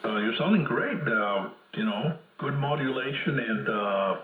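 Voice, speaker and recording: A man working as a ham radio operator with measured procedural phrasing, heard over AM radio. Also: medium pitch, lively intonation, phone-quality sound. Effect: AM shortwave radio. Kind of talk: radio calling and casual exchange. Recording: noisy, echoey AM radio signal.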